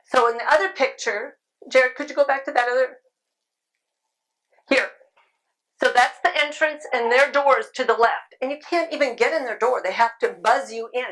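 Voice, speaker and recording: A middle-aged woman speaking at a convention, chatty and loud-voiced, laughing often as she answers questions. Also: high voice, rough recording. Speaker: convention speaker on sidewalk counseling. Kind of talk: informal talk with audience questions. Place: convention room.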